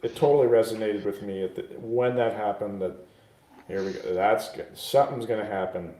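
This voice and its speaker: A man chatting casually as a film review guest, halting, with deadpan jokes. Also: lowish voice, reverberant. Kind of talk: casual chat.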